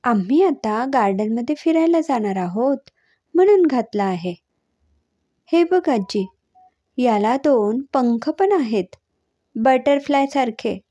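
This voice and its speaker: A woman voicing an eager little girl, high-pitched. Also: lively intonation, takes it slowly, pauses often, dark tone. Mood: excited. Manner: childlike, mixes English words into her talk. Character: eight-year-old girl, sweet and excited, eager.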